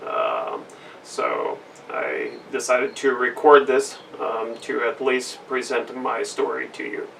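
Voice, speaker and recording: A man raised in Brazil, giving a recorded testimony in an earnest, halting way with many fillers. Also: mid-range voice, expressive, vocal fry, a bit bright, far from the mic, a little hiss or hum. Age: adult with a school-age daughter. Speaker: missionary kid giving a testimony. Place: indoor room with a flag behind.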